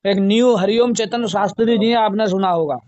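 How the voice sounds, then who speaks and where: A man's voice: high-pitched, a little fast, darkish tone. A man talking casually in a recorded phone conversation. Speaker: religious storyteller and singer with a studio. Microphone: phone call. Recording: dull lo-fi recorded phone call.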